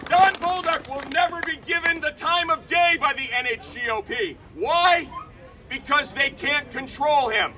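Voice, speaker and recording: A man giving a fiery political rally speech outdoors, loud and punchy, shouting his slogans. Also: very high voice, measured pace, recorded from a distance, very noisy. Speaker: rally speaker. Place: outdoor rally by a state capitol.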